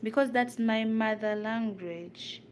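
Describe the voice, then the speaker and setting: A woman's voice: fairly high pitch, slow. A young woman from Uganda with a Ugandan accent, a native Luganda speaker, chatting casually with viewers while cooking. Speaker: vlogger. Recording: noisy home recording.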